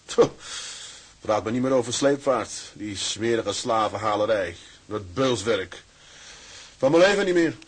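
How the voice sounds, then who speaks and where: An actor playing a plain-spoken young sailor in a radio drama, husky, colloquial and hearty. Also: male voice, lowish voice, lively intonation, measured pace. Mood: emphatic relief. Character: hearty, plain-spoken young Dutch seaman and loving husband. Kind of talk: Dutch radio drama acting.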